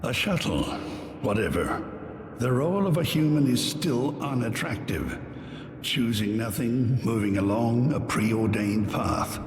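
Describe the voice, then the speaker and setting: Slow, scornful actor as a male plague herald. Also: mid-range voice, lively intonation, slight background noise, natural voice. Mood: scornful, ominous. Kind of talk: theatrical game monologue. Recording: echoey hall, long reverb, music behind. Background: music.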